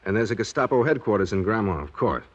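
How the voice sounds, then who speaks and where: Grumbling American army sidekick: lowish voice, fast, sarcastic, vintage radio drama. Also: male voice, lively intonation. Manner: sarcastic, dry. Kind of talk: 1940s radio acting. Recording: vintage 1940s radio recording.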